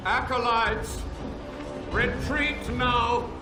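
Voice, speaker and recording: A high, urgent rebel leader. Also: male voice, steady tone, extremely slow, full character voice. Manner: urgent commands. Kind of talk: video game cut-scene acting. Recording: roomy with loud music.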